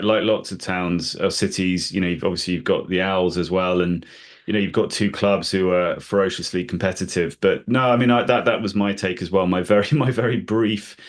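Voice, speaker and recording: Give a man with a low voice the host's seat on a podcast. He asks long, winding questions that stop and restart as he feels his way toward the point. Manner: rambling, halting questions full of restarts. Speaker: podcast host. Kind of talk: casual interview conversation.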